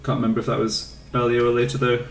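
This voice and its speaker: A man's voice: mid-range voice, completely flat delivery, quick pace, vocal fry, reverberant, distant mic, a little hiss or hum. A young man from England with an English accent, casually chatting with his live chat while streaming a game, rambling and answering questions.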